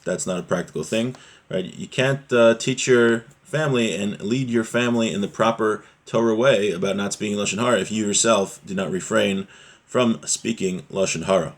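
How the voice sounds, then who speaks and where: Husky low-voiced man teaching Torah. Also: normal speed. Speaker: religious teacher giving a Torah class. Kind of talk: religious lesson, explaining a Hebrew text line by line in English.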